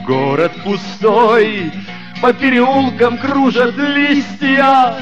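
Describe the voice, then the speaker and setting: A man singing a slow acoustic waltz in the style of a singer-songwriter. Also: extremely high pitch, dull audio. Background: plucked acoustic guitar.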